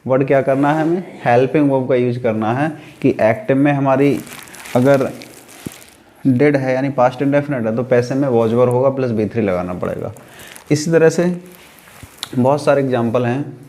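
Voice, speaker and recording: A man's voice: mid-range voice, normal speed, warm tone. A man with an Indian accent teaching an English grammar lesson step by step, repeating phrases and answering his own questions. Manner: didactic, repeats phrases and asks himself questions then answers.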